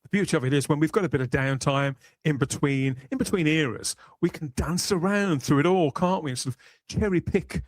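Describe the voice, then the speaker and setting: A man's voice: on the high side, a little fast, dry recording. A man hosting a casual panel podcast, chatting in wordy, rambling phrases as he presents and introduces guests. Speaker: podcast host.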